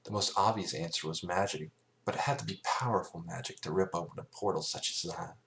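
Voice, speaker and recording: A man narrating scripted fan fiction as an amateur reader, halting and stumbling over phrases now and then. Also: sits low, creaky, a bit bright.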